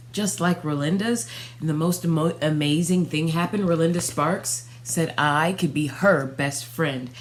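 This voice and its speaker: A young woman reading a children's storybook aloud, narrating expressively in the first person with dramatic pauses. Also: mid-range voice. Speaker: storybook reader. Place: small furnished room at home.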